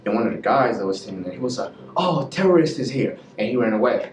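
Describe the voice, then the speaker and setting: A man's voice: medium pitch, expressive, fast talker, roomy sound, far from the mic, slight background noise. A man, a student, plainly recounting a hallway incident.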